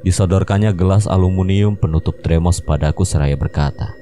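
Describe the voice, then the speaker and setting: A man narrating a mystery story in the first person, calm storytelling. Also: sits low, fairly quick. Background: faint music.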